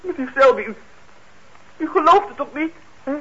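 A man's voice: extremely high pitch, lively intonation, normal speed, bright, forceful tone, full character voice. An actor playing a troubled young man on parole in a radio drama, hesitant and defensive, stammering when he is upset. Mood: indignant, agitated. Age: young adult. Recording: dull, noisy old radio recording.

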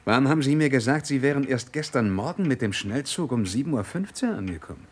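A man's voice: mid-range voice, lively intonation, quick pace, husky. A man playing a shrewd, commanding police inspector in a German radio crime drama, questioning with dry, sardonic authority. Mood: probing. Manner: probing, authoritative, dryly mocking.

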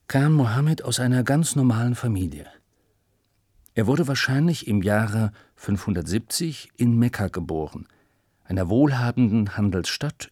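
Male narrator, children's educational audiobook. Husky grain, measured storytelling, close dry studio sound. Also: fairly low pitch, a little mellow, dry recording. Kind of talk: scripted explanatory narration for children.

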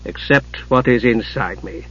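A man's voice: medium pitch, measured pace, a bit bright, breathy edge, dry recording, lo-fi sound. A man voicing an earnest, honor-bound young knight in a fantasy story, solemn and intense, troubled yet proud. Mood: resigned.

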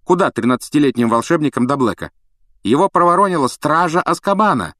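A serious, sensible middle-aged father, as a male storyteller plays him. He speaks matter-of-factly with lively pitch movement, lifted above a low narrating voice. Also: fairly quick, no room sound, studio quality. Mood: reproving. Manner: grave, matter-of-fact.

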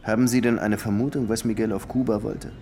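An actor playing a weary, sardonic police detective in a German radio crime drama, speaking in a dry, probing way. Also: male voice, on the low side, fairly even intonation, fairly quick, mellow, soft background music, lightly acted.

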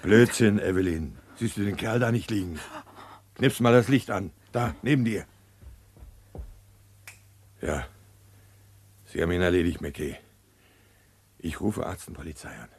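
A brusque football-mad neighbor. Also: male voice, low-pitched, unhurried, pauses often, slightly rough, exaggerated voice. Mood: gruff. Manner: brusque, opinionated, lively. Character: gruff football-mad neighbor, middle-aged man. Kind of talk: radio crime drama acting.

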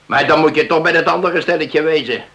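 A grumbling village husband in a radio play. His voice is creaky and forceful, with an edge to it. He is blunt and opinionated, brushing others off. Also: fairly high pitch, a little fast. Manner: blunt, dismissive. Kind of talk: Dutch radio drama acting.

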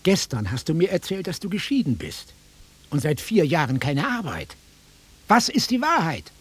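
An actor playing a bored, blunt middle-aged travelling salesman with a raspy voice in a naturalistic radio drama. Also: fairly high pitch, wildly swooping pitch, normal speed, heavily acted. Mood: impatient, annoyed. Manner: offhand, dismissive, grumbling.

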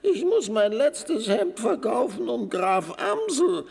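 A man playing a despairing, whiny bankrupt insurance director in a comic children's radio play, wailing in a croaky, husky voice. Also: very high voice, big pitch swings, darkish tone, full character voice. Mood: desperate, wailing. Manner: wailing, slow lamenting.

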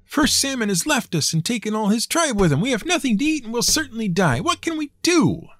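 A man's voice: very high voice, very animated, brisk, fairly bright tone, no echo, faint music behind, voice pushed up, exaggerated voice. A man voicing timid, starving villagers in a storybook folk tale. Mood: pleading.

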